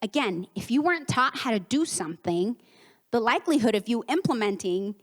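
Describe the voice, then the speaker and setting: A woman's voice: on the high side, lively intonation, brisk. A woman in her thirties, a therapist whose first language is Spanish, giving a conversational conference talk with acted-out quotes. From United States, Mexican home. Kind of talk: conference presentation. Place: conference hall. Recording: reverberant conference hall.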